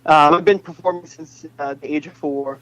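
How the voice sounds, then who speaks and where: Muffled, high-voiced young New man, chatty. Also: steady tone, moderate pace, no echo. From New England, United States. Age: 20s. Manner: chatty, playful. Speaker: interview guest, pop singer. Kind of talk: casual interview chat. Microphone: phone. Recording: muffled noisy phone connection.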